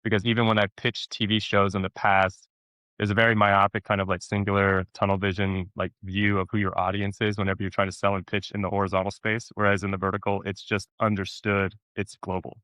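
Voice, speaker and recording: A man chatting casually as an interview guest, telling stories in an animated way, repeating words for emphasis and acting out quotes. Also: fairly low pitch, fairly quick, dry recording. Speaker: actor being interviewed.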